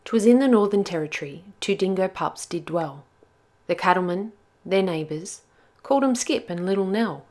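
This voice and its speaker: A woman's voice: mid-range voice, lively intonation, moderate pace, darkish tone. A woman storyteller reading a rhyming children's picture book aloud with expression.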